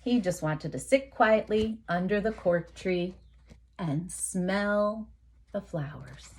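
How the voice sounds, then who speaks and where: A woman teacher reading a picture book aloud to young children, expressively, with dramatic emphasis, pauses and asides to her listeners. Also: fairly low pitch, a little mellow.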